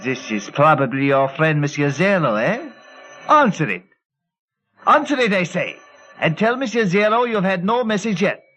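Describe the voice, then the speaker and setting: A man playing a menacing, touchy dwarf henchman in a radio serial, speaking with cold, formal, deliberate politeness. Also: high voice, very animated, pushed and bright, faint music behind, exaggerated voice. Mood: threatening. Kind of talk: vintage radio serial acting. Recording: dull, band-limited old radio recording.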